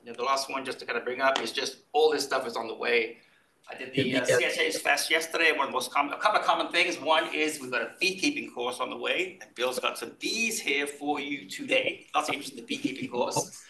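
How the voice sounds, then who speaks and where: A man from Wales with a Welsh accent mixed with Kentucky, giving a brisk, upbeat welcome talk to students as department chair. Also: mid-range voice, crowd noise. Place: lecture room. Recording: roomy, from a distance, faint noise.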